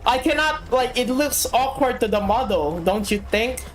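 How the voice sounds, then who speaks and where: A woman from the Philippines streaming a game, chatting casually and getting excitable as she plays. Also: on the high side, normal speed. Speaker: VTuber streamer. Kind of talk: casual gaming chat. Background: game music.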